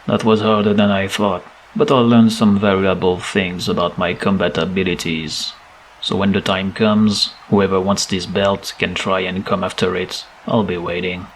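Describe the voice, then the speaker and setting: A man's voice: sits low, little pitch movement, moderate pace, a little hiss or hum, lightly acted, low-quality recording. A man voicing a cold, boastful French demon wrestler, scornful and threatening, in a scripted cartoon. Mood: cool, resolute. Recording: loud music over the voice.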